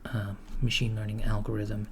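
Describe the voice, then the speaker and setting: A man in an ASMR roleplay playing a mild-mannered corporate recruiter of henchmen, soft-spoken, hesitant and politely matter-of-fact. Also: on the low side, monotone, normal speed, a little hiss or hum, natural voice. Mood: polite, businesslike. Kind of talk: ASMR roleplay, job interview.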